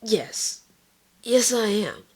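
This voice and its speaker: A woman voicing a guarded young female pony in a fan story reading, blunt, deadpan and husky. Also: a little high, extremely slow, heavily acted. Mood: relieved, casual. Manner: terse, deadpan, matter-of-fact.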